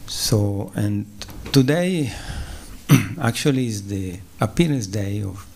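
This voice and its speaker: Slow, halting man with an Italian accent. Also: fairly low pitch, lively intonation, a little hiss or hum. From Ticino, southern Switzerland. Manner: slow and halting, with pauses, fillers and throat clearing. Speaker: guest speaker at a temple. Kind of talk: spiritual lecture. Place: temple hall.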